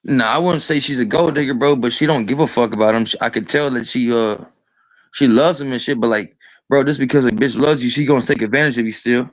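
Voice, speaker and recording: A man's voice: mid-range voice, fast talker, no echo, poor audio. A man of twenty-two in casual, crude chat, rambling and saying "bro" and "like" constantly.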